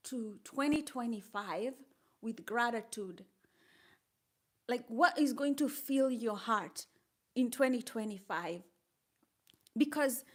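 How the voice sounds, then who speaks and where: A woman's voice: sits high, fairly bright tone. An older woman with a Kenyan accent, chatting casually and encouragingly with viewers on a live stream, laughing often. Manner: upbeat and encouraging, reads out chat comments, laughs often. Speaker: livestreamer.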